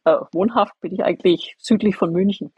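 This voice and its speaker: A woman's voice: medium pitch, fairly even intonation, brisk, slightly rough, dry recording, muffled recording. A middle-aged woman chatting on a podcast panel from an indoor holiday room, friendly and hesitant. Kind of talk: casual remote chat.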